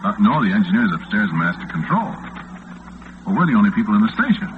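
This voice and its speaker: Folksy American night-shift radio DJ, low voice, easygoing halting patter, muffled vintage radio drama recording. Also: male voice, big pitch swings, noisy background. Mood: casual, modest. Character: chatty male overnight radio disc jockey, folksy and easygoing. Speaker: late-night radio host. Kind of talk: old-time radio drama acting, conversational.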